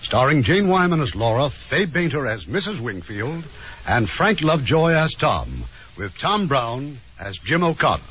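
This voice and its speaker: A man's voice: medium pitch, sing-song, normal speed, slight background noise. A man announcing in a scripted 1950s radio style. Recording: muffled 1950s radio broadcast.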